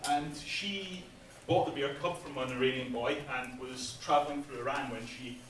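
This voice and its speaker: A man giving a chatty conference talk about his game, laughing at his own jokes and filling pauses with ums. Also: mid-range voice, fairly bright tone. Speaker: game developer presenting at an industry event. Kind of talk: casual conference presentation. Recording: echoey hall, speaker far from mic, faint noise.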